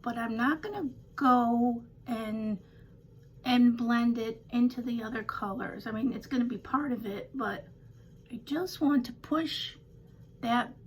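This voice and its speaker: An older woman with a slightly raspy voice, chatting casually through a home makeup tutorial with rambling, self-deprecating asides. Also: fairly high pitch, a little slow, a little hiss or hum, low-quality recording. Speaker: beauty vlogger.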